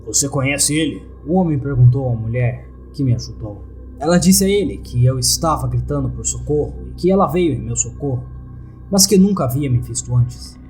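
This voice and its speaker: A Brazilian man narrates a horror story in the first person. His voice is mid-pitched with a dark, soft-edged timbre. Light music plays underneath. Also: poor audio.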